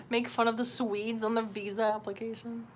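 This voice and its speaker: A woman's voice: fairly high pitch, a bit bright, slight background noise. A woman chatting casually on a livestream, rambling in a candid, sweary and self-deprecating way. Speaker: livestream host.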